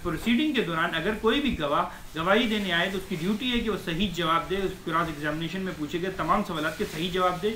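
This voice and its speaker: A man's voice: high voice, brisk, hardly any pauses, sharp tone. A man explains law like a teacher, repeating points and dropping in English legal terms. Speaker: advocate giving law lessons. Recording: echoey room with faint noise.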